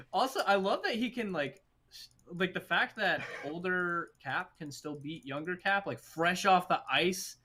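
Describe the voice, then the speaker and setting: A man co-hosting a casual movie discussion, talking in an animated, opinionated, emphatic way. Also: high voice, measured pace. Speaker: podcast co-host.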